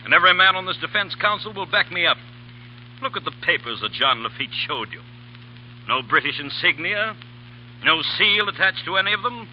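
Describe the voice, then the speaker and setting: Stern senator, accusing, 1930s radio play, muffled. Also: male voice, high-pitched, expressive, brisk, slight background noise. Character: stern hostile middle-aged senator. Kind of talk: 1930s radio drama acting.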